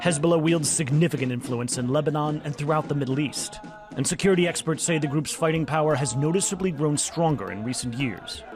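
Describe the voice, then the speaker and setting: A man reads a scripted news explainer in a slightly high voice, with music clearly playing underneath. He speaks as a correspondent narrating a report. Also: brisk.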